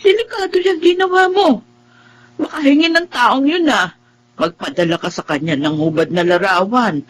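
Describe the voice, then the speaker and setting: Woman acting husky, fretful, protective mother. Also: on the high side, moderate pace, close to an everyday voice. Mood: alarmed. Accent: Filipino. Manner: emotional, fretful. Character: anxious, protective middle-aged mother, easily tearful. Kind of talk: Filipino radio drama acting.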